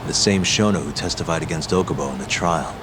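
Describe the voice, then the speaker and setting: A man's voice: deep voice, quick pace, noisy background, lightly acted. A man voicing a determined, protective young detective in an English video game dub, with a laconic, assured delivery. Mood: earnest, protective. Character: determined, cool-headed young male detective, protective and reckless. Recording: background music under the dialogue.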